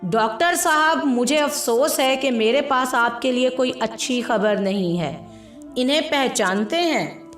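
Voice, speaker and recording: A grave police inspector from a moral tale , read by a woman narrator. He is polite, formal and terse, with measured pacing and a roomy echo. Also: sits high, animated, fairly bright tone, far from the mic. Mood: grave, regretful. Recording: roomy echo with music behind.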